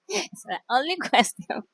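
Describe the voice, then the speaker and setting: A Venezuelan-accented woman with a gravelly, creaky edge and a slightly high pitch. She gives brief, slow answers broken by soft laughs. The sound is dry. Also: dry recording, poor audio. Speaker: interviewee.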